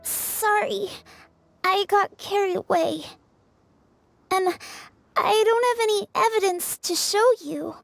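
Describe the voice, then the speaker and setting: A sickly little girl from a video game. The voice is tiny and very high, moving slowly and haltingly with wistful, plaintive earnestness. Music plays faintly behind. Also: creaky, exaggerated voice. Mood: pleading, sorrowful. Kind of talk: English video game voice acting. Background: game background music.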